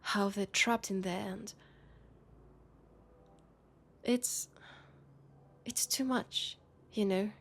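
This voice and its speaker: A woman's voice: mid-range voice, steady tone, slow pace, a bit bright, slight background noise. A woman with a French accent playing a worried, disillusioned policewoman in an intimate sci-fi roleplay, confiding in a hesitant way. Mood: troubled, uneasy. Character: worried, disillusioned young policewoman in a dystopian city. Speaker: roleplay audio performer.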